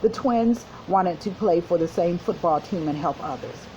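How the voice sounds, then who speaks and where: A woman's voice: on the low side, moderate pace, highs cut off, background noise. A woman school counselor reading a storybook to children and explaining it in an instructive way. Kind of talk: children's storybook read-aloud with commentary.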